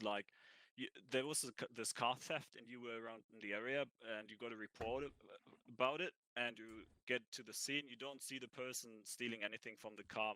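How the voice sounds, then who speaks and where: A man with a cold answering interview questions in a roleplay, halting and thinking aloud as he goes. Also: on the low side, bright, forceful tone, no echo, rough recording. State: has a cold. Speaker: ranger job applicant.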